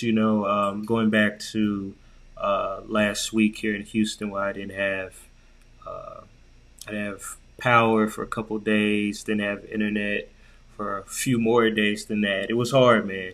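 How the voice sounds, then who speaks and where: A man's voice: fairly low pitch, a bit bright. A man co-hosting a podcast, chatting informally and slangily in a casual conversational style. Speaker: podcast co-host. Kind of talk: casual conversational tech chat.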